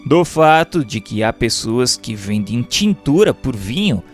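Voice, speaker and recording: A man reading a book aloud in a formal, measured, rhetorical manner, like scripted audiobook narration. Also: mid-range voice, sing-song, over music.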